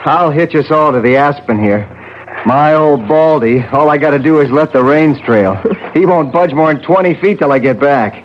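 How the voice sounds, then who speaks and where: A man's voice: fairly high pitch, normal speed, natural voice. An actor playing a jovial, folksy outdoorsman in a 1970s radio drama. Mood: easygoing, jovial. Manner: folksy, joking. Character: jovial outdoorsy man, folksy old Boy Scout type. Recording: dull vintage radio recording, faint hiss.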